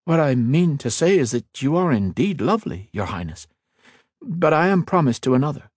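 A man's voice: a little high, moderate pace, no echo, pitched above the performer's own voice. A man playing an earnest young mage lord. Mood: pleading. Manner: earnest, even, respectful.

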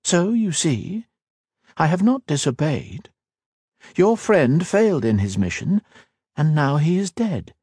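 A man voicing a shapeshifting creature that has taken the form of an elf woman, speaking in a soft, measured, coaxing way. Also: fairly high pitch, very animated, husky, no echo, voice pushed up, full character voice. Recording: dry close studio read.

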